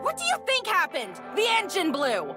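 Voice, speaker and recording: A woman's voice: very high voice, huge pitch swings, normal speed, voice pushed up, exaggerated voice. A woman voicing an angry, sarcastic young woman with a biting, exasperated delivery in a visual novel read-aloud. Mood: scornful, angry. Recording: loud music behind.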